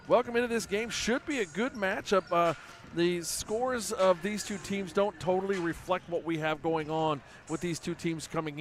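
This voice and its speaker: A man hosting a local high school basketball broadcast, chatty and casual with many fillers. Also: slight background noise. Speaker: play-by-play broadcaster.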